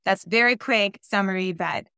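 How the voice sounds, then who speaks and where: A woman with a Colombian Spanish accent talks through her answers warmly and casually, with frequent fillers. Her voice is smooth and clear at a middle pitch, recorded dry. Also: normal speed, no room sound. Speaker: podcast guest, real estate investor. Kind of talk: conversational interview answers.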